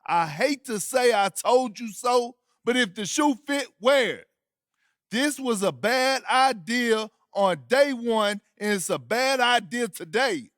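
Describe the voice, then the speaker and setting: Very high-voiced, opinionated sports pundit. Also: male voice, fairly bright tone, no room sound, clean studio sound, exaggerated voice. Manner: emphatic, judgmental, colloquial. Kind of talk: sports talk commentary in a game.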